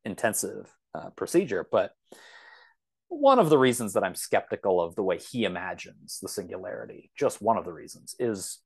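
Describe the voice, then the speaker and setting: A man speaking as a podcast guest in a reflective, conversational way, often halting and circling back with asides. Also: low-pitched, big pitch swings, gravelly, slightly rough. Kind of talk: thoughtful conversational interview.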